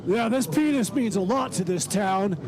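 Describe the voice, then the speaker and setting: A man's voice: high voice, expressive, moderate pace, a crowd in the background. A man playing a folksy, mock-grave news reporter with an announcer's delivery in a comedy radio play. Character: folksy male TV news reporter on a crime scene.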